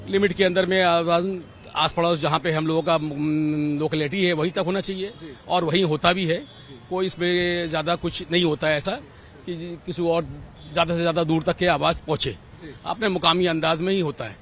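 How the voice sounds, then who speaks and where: A man's voice: fairly high pitch, fairly even intonation, brisk, a bit bright. A man with an Indian accent answering a street interview, emphatic and given to repeating his points. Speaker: member of the public interviewed. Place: outdoors on a city street. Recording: outdoor street, faint traffic noise.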